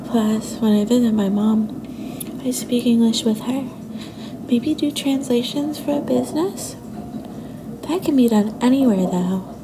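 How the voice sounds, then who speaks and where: Woman acting young girlfriend, affectionate, a bit possessive, soft whispery ASMR delivery, gravelly creak, noisy ambient recording. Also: on the high side, unhurried. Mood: thoughtful, affectionate. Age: young adult. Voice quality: soft, often breathy and whispery.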